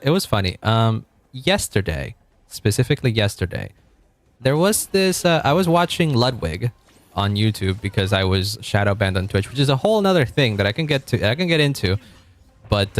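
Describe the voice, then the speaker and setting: A man from Argentina streaming casually, rambling, correcting himself and chuckling as he reads chat, with a deep voice. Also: big pitch swings, moderate pace.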